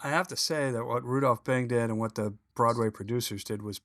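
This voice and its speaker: A man's voice: mid-range voice, brisk, a bit bright, no room sound, clean studio sound. A man co-hosting a sports business podcast, wrapping up an interview in an easygoing way. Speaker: podcast co-host. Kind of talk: interview chat.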